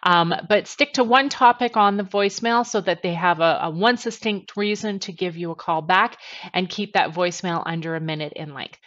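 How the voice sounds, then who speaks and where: A woman's voice: fairly low pitch, normal speed, a bit bright. Woman presenting tips, slightly dull headset sound. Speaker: sales trainer presenting tips. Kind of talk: instructional to-camera talk. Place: small attic bedroom, indoors.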